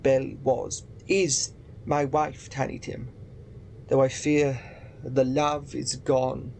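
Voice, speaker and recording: Guy acting anxious old man in a radio play, gravelly creaky voice, hesitant, bit of background noise. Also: mid-range voice, level tone, slow, darkish tone. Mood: regretful.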